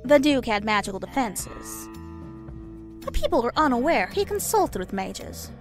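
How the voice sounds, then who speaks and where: A sly, pushy interviewer in an audio drama, high-pitched and lively. She needles with insinuating questions. Music plays behind her. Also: measured pace.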